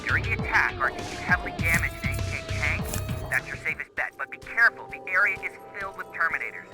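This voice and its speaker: A man as higher-pitched male resistance operator over music. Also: expressive, fast talker, natural voice. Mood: cautioning. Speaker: mission guide over radio. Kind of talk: acted video game dialogue.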